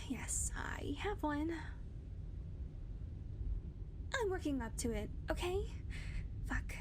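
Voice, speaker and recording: A sardonic, ageless female vampire, played by a woman in an intimate audio drama. Her voice sits high, and she teases in a dry, blunt way, often dropping into soft whispers. A faint hiss of background noise runs underneath. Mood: flustered. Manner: dry teasing with frequent soft whispered asides. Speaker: audio roleplay performer. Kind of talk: intimate audio roleplay acting.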